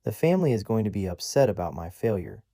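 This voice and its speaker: A man's voice: on the low side, big pitch swings, fast talker, dark tone, dry recording, clean studio sound. A man voicing an earnest young man who talks with a slightly condescending, big-brother tone. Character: young man, earnest undercover agent, sibling-like.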